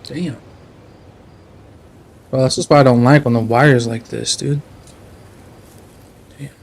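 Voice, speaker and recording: A man's voice: mid-range voice, steady tone, takes it slowly, gravelly. A man chatting casually as a live game streamer, muttering and swearing now and then, with an uneven mic level. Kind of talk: casual gaming livestream chat.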